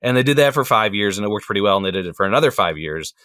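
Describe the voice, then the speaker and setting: A man's voice: on the low side, lively intonation, very fast, a bit bright, clear, resonant tone, dry recording, clean studio sound. A man talking as a podcast guest, easygoing and thoughtful, with frequent fillers. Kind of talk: casual chat.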